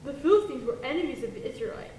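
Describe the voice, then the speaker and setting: A high, cheerful puppet teacher acted by a woman telling stories to children in an animated way. The voice sits in an echoey room with a faint rumbly noise behind it. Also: rapid-fire. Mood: storytelling. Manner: exaggerated, animated, talks to children. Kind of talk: children's puppet show storytelling.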